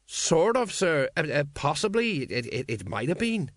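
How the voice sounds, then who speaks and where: A man's voice: medium pitch, sing-song, fairly quick, dry recording, clean studio sound, lightly acted. A man voicing an indignant, self-righteous, grumbling city watch sergeant. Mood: hesitant. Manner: indignant, hesitant under questioning.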